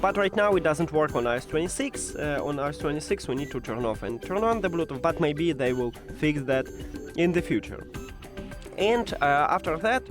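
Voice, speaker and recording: A man who is a non-native English speaker presents a step-by-step tech how-to in an informal, instructional way with hesitant pauses. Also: on the high side. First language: not English.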